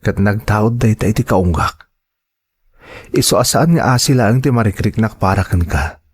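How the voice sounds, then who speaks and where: An actor playing a soft-hearted married man devoted to his lover, hesitant and placating, in an audio drama. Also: lowish voice, moderate pace, breathy edge. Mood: tender, reassuring.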